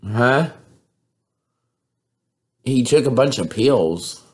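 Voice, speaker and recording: A man in his thirties making a prank call with feigned distress, in a dramatic, plaintive, drawn-out way. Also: medium pitch, expressive. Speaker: prank caller. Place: indoors in a home room, reclining.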